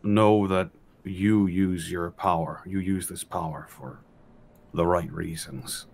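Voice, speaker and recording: Man, low voice, slow pace, tabletop player in character, restrained, slight background noise. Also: little pitch movement, gravelly, a little mellow. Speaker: player in a tabletop role-playing game. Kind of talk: in-character improvised dialogue.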